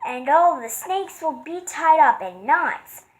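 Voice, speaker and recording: Alarmed zebra, woman voicing; high, yelping, frantic. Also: animated, takes it slowly, poor audio. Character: panicky male zebra.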